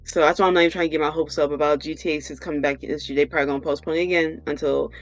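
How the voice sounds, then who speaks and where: A woman co-hosting a podcast in a loose, conversational way. Her voice sits in an ordinary range, with soft music playing underneath. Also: low voice, fairly even intonation, rapid-fire, low-quality recording. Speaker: podcast co-host and game streamer. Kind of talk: casual unscripted podcast chat.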